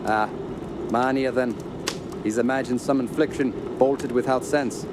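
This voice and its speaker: Radio play doctor, male actor: older search leader, steady authority, medium pitch, outdoor sounds behind him. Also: lightly acted. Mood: firm, commanding. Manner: brisk, authoritative, fatherly. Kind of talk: radio drama acting. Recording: outdoor ambience noise behind voice.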